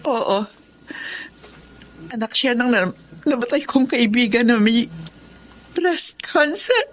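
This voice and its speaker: A woman's voice: a little high, expressive, takes it slowly, fairly bright tone, slight background noise, poor audio. A woman playing an emotional middle-aged boss and bereaved mother in a Filipino audio drama, with a Filipino accent and dramatic delivery. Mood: grieving. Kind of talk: Filipino radio drama acting.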